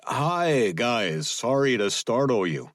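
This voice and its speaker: A man's voice: medium pitch, animated, slow, dry recording, studio quality, pitched above the performer's own voice. A man voicing a tough operative in an audiobook who bluffs as a friendly, apologetic, folksy tourist. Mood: cheerful bluffing.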